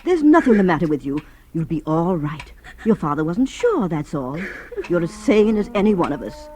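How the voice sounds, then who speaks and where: An actress playing a middle-aged guardian's wife, soothing yet ominous, with measured old radio-drama delivery. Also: female voice, lowish voice, sing-song, darkish tone. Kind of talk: vintage radio drama acting. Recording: noisy, crackly old radio recording.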